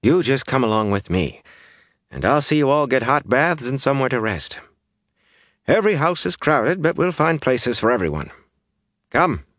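A man voicing a big, bossy village wise woman with a forceful, scolding manner in an audiobook. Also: medium pitch, very animated, moderate pace, long pauses, dry recording, studio quality, pitched above the performer's own voice. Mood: brisk, comforting.

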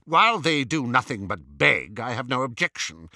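A man voicing a snobbish, suspicious male dark wizard in an audiobook, formal and disdainful, with a pompous drawl. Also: mid-range voice, big pitch swings, normal speed, no room sound, studio quality, heavily acted. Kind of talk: audiobook character voice.

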